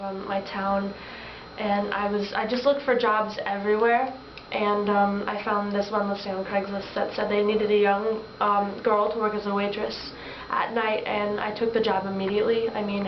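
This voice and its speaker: Haunted young female victim, played by a woman, hesitant and flat, in a noisy, roomy mock interview. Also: mid-range voice, lightly acted. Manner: halting, hesitant. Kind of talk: student-acted mock interview testimony. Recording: echoey, distant, noisy lo-fi room recording.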